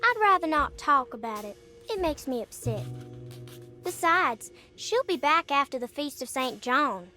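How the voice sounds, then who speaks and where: A woman's voice: high voice, lively intonation, a little slow, pushed and bright, faint music behind, heavily acted. A female performer playing an eerie, solemn young girl in a horror game, guarded and knowing in a childlike way. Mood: matter-of-fact. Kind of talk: cinematic game dialogue acting.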